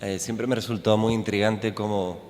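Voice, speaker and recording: A man's voice: fairly low pitch, steady tone, normal speed, a little mellow. A man in the audience asking a hesitant, filler-heavy question. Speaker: audience member. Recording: distant mic in echoey hall.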